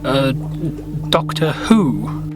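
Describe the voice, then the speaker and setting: A man playing a frail, apologetic old scientist with a weak, raspy, stammering voice in an audio drama parody. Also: medium pitch, very animated, very slow, loud music behind the voice. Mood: hesitant, polite. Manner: stammering, polite, hesitant.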